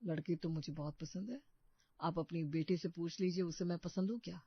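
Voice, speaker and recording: A man's voice: fairly high pitch, fairly even intonation, fairly quick, husky, no echo. A man playing a protective, scheming young brother in a cartoon story, with an assured, earnest delivery. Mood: smooth, boastful. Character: protective, scheming young working-class brother posing as rich suitor. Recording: muffled dry studio recording.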